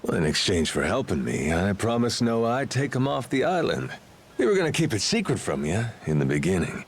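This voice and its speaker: A man's voice: on the low side, big pitch swings, fairly quick, a little hiss or hum. An actor playing a brash, cocky but sympathetic roguish man in a video game. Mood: persuasive.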